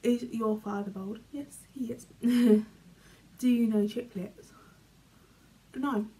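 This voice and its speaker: Young woman, British accent, chatty question-and-answer vlog, relaxed dark tone, small bedroom, camera mic. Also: on the high side, slow pace, low-quality recording. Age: young adult. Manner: chatty, filler-heavy, often trails off quietly. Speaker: vlogger. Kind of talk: casual chat, reading questions aloud. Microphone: camera in front, medium framing.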